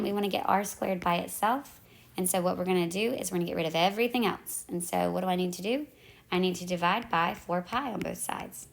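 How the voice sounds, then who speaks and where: A woman's voice: medium pitch, creaky, low-quality recording. A woman teacher who is losing her voice, walking through math problems step by step and correcting herself as she goes. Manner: talks through calculator steps, self-correcting. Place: at home in the evening.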